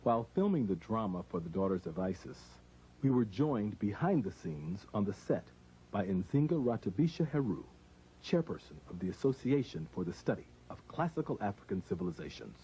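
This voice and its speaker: An American man, a host with a measured, formal way of narrating a scripted documentary and interviewing. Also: fairly low pitch, big pitch swings, warm tone, muffled recording, slight background noise.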